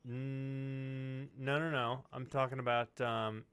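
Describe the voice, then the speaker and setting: A man's voice: medium pitch, flat delivery, slow pace, a bit bright, smooth, no room sound. A male podcast host asking loose, rambling questions and searching for words, swearing casually. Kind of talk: casual interview chat.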